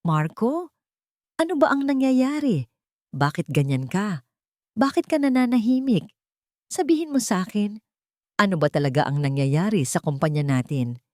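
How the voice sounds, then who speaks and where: A woman's voice: medium pitch, big pitch swings, normal speed, long pauses, darkish tone, no echo, clean studio sound, voice pushed up, close to an everyday voice. A woman voicing a proud, bossy wealthy older matriarch who screams and rants in a dramatized audio story. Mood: pleading. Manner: screaming, tearful outbursts.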